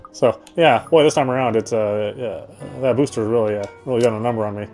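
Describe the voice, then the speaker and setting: A man streaming a game, chatting casually and reading its story aloud, often halting and correcting himself. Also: medium pitch, darkish tone. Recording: faint game music behind.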